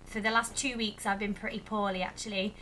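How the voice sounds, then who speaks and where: A young woman, a little croaky, chatting casually to camera in a rambling vlog update. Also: mid-range voice, level tone, a little fast, sharp tone, slight background noise, rough recording. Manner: chatty, rambling with many fillers. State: slightly croaky. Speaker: vlogger.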